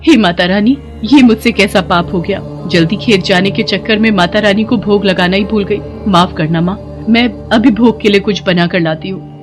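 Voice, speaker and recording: A woman voicing a pious, sharp-tongued village wife and mother in a dramatized moral story, emotional in her delivery. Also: mid-range voice, normal speed, music in the background, close to an everyday voice. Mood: pleading.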